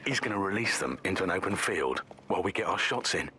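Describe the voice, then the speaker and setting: An actor playing a callous, boastful British military thug in a video game, chatting in a matey, gloating way. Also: male voice, low voice, animated, quick pace, a crowd in the background. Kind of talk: casual banter in video game dialogue. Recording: clear background noise.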